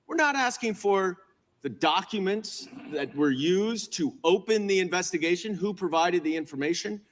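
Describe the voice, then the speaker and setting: A male politician making an accusatory, deliberate speech at a hearing, repeating phrases for force. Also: sits high, lively intonation. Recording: reverberant hearing room.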